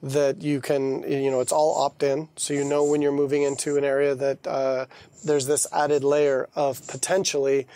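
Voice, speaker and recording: A man's voice: mid-range voice, level tone, moderate pace, dark tone, no echo. A man, a game developer guest, talking casually and rambling with lots of fillers and restarts. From United States. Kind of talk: casual chat.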